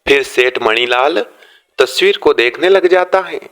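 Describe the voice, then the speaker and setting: A man narrating a scripted moral story for children, deliberately, phrase by phrase. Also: mid-range voice, animated, fairly bright tone.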